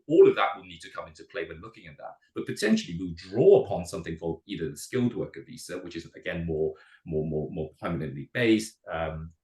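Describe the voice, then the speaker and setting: A man recovering from a cold, speaking hoarsely as an immigration adviser in a webinar, talking fast with many repeated words and restarts. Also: sits low, echoey room, poor audio. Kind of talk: webinar presentation.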